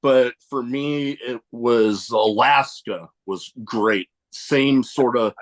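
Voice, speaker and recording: A man hosts a casual podcast at a mid pitch. He is easygoing and agreeable, nodding along with short replies, then telling his own travel stories. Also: takes it slowly. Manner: easygoing, agreeable, brief acknowledgements; navy veteran. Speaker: podcast host.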